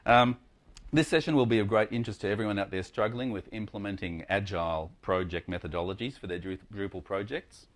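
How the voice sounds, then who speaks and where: Low-voiced man introducing a conference session. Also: brisk. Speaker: conference track chair introducing a speaker. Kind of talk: informal live session introduction.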